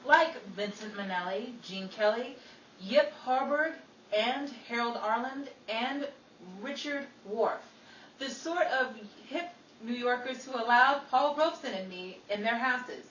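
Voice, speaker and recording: A woman's voice: fairly high pitch, a little slow, a bit bright, recorded from a distance, dull audio. A woman radio play performer, moving between dramatic narration and casual banter. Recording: echoey room, off-mic, faint noise.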